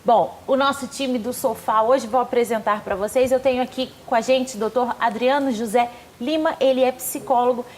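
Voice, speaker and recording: A woman with a fairly high voice hosts a studio talk show. She introduces guests with gushing, effusive praise and slips in quick chatty asides. A faint hiss of noise sits under her voice. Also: fairly even intonation, a little mellow.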